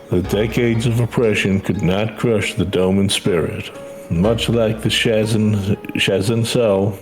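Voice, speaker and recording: Earnest older teacher from a video game , played by a man: low register, formal and measured, music underneath. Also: a little mellow. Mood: solemn, proud. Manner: measured, formal storytelling delivery. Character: earnest older schoolteacher devoted to tradition. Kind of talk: reading video game dialogue aloud.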